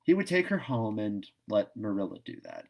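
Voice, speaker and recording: A man's voice: on the low side, wildly swooping pitch, normal speed, vocal fry, dull audio. A man narrating a classic novel as a storyteller reading aloud.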